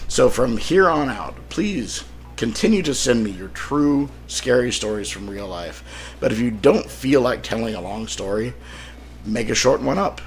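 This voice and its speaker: Rough-voiced, creaky man hosting a podcast. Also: medium pitch. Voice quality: slightly rough, throat-clearing. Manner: wry, self-deprecating joking. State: sick, rough throat. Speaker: podcast host and author. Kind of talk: casual solo podcast monologue.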